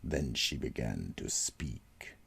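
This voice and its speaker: A man narrating a horror radio drama and hosting the show, slow and ominous. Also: extremely low pitch, lively intonation, vocal fry, fairly bright tone, husky. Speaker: narrator and host.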